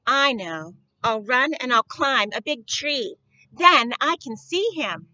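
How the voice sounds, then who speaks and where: Woman reading as small eager storybook man, thinking aloud, high bright voice, slightly muffled recording. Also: lively intonation, slow, dry recording, heavily acted. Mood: eager, pondering.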